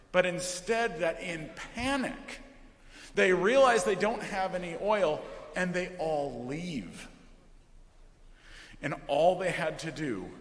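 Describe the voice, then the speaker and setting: A man preaching a sermon as a pastor, speaking deliberately and reflectively, with emphatic pauses and questions. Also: fairly high pitch. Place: large church during worship service. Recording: reverberant church hall.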